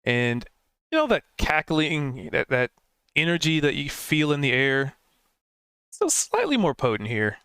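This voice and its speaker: A man's voice: a little high, wildly swooping pitch, measured pace, bright, forceful tone, clean tone, no room sound, studio quality. A man narrating as game master in a rambling, descriptive way, full of fillers.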